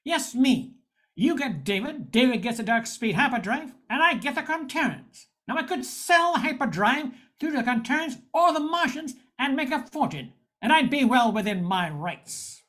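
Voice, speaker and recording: A woman's voice: high voice, big pitch swings, moderate pace, fairly bright tone, full character voice. A woman playing a wry, jaded male bar owner in a space-noir radio spoof, narrating and speaking in scenes. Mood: guarded, hard-boiled. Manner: wry, hard-boiled.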